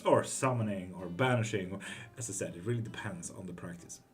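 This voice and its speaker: A man's voice: deep voice, faint music behind. Laid-back man streaming tarot. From Greece. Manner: relaxed and profane, with quick laughs. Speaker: live tarot reading streamer. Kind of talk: casual live-stream chat, reading comments aloud.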